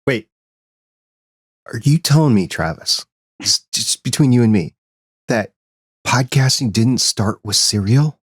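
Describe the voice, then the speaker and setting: A man's voice: medium pitch, animated, measured pace, pauses often, slightly rough. A man hosting a podcast interview, asking questions with a teasing, joking manner. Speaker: podcast host. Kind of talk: casual interview chat.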